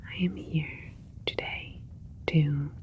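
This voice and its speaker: Alien doctor for sci-fi ASMR, female performer: low-pitched whisper, measured, clinical, calm, extremely unhurried. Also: lo-fi sound. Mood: gentle, welcoming. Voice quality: soft whispering. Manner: slow, measured, clinical, deliberate. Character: calm, clinical alien scientist doctor creating a plant hybrid.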